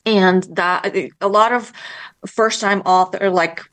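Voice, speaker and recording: A woman's voice: mid-range voice, fairly even intonation, slow, fairly bright tone. A woman for whom English is not her first language, answering interview questions about writing in a hesitant way with many fillers and restarts. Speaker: children's book author, guest.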